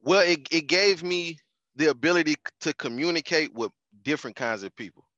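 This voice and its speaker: A man's voice: fairly high pitch, a little fast, pushed and bright, dry recording, highs cut off. A man, chatting as a podcast guest in a laid-back, slangy way. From Houston, Texas. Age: 20s. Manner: laid-back, slangy, restarts and repeats phrases. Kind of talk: casual conversational interview.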